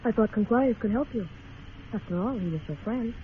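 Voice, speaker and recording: A woman's voice: fairly high pitch, lively intonation, a little fast, natural voice. A woman playing a mysterious, fatalistic young temptress, languid and teasing, in an old radio western. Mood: matter-of-fact, ominous. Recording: muffled, faintly noisy old radio recording.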